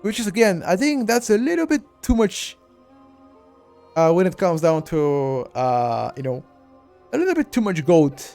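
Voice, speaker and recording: A man's voice: high-pitched, big pitch swings, moderate pace, a little mellow, faint music behind. A man with a Bulgarian accent, talking through a game walkthrough on a live stream and speaking straight to his chat, often repeating himself. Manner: chatty, addresses chat directly, repeats himself.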